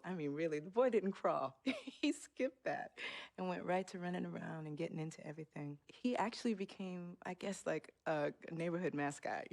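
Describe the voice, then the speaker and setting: A woman's voice: lowish voice, creaky, a little mellow. An actress playing a proud, affectionate, no-nonsense middle-aged mother in a game cutscene, speaking conversationally. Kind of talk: video game cutscene acting.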